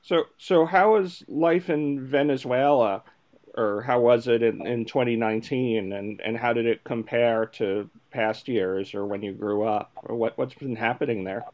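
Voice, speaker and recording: A man's voice: mid-range voice, measured pace, darkish tone, dull audio. Man co-hosting a podcast. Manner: stumbling, repeats words when asking questions. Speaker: podcast co-host. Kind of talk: scripted intro, then interview questions.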